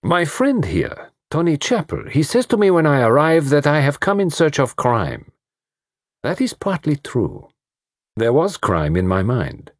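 A man voicing a fussy, commanding detective, pompous and precise, in a slightly husky voice. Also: on the high side, wildly swooping pitch, measured pace, no room sound, studio quality, voice pushed up, exaggerated voice. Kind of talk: audiobook reading.

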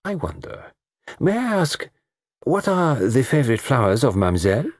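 A man voicing a gallant, shrewd middle-aged detective with a French accent, speaking in courteous, measured, formal phrases in an audiobook story. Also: mid-range voice, very animated, no room sound, studio quality, pitched above the performer's own voice.

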